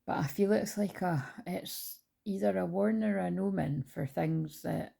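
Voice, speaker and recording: A woman's voice: lowish voice, unhurried, creaky, dark tone. A woman hosting a spiritual channel, chatting casually into a phone she holds at home, rambling with many fillers and restarts. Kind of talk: casual talk to camera. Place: at home beside her dog. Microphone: phone held in hand.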